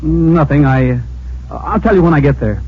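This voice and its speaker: An actor playing an easygoing, inquisitive American hero, polite and folksy, in an old-time radio drama. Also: male voice, on the high side, moderate pace, husky. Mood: serious. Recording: muffled, faintly noisy old radio recording.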